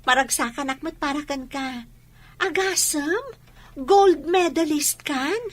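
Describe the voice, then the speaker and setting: A woman's voice: high voice, animated, slow pace, no room sound. A woman playing a friendly, admiring young woman in an Ilocano radio drama. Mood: cheerful, admiring.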